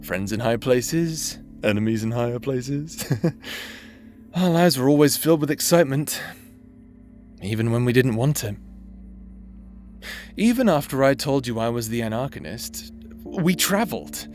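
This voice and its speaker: A man's voice: medium pitch, lively intonation, unhurried, pauses often, soft background music, natural voice. A man voicing a remorseful necromancer husband who now haunts his partner's memory, speaking tenderly and teasingly in a roleplay audio drama. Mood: wistful. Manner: tender, teasing, softly spoken.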